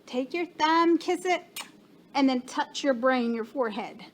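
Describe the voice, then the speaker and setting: Casting: high-voiced female teacher, unhurried, playful gasps, faint room noise. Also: expressive. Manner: slow, sing-song, encouraging, with gasps of surprise. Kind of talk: children's lesson.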